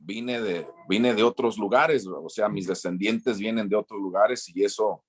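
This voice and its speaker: A Mexican man, a former missionary, answering an interview question slowly, with many restarts and repeated words. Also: lowish voice.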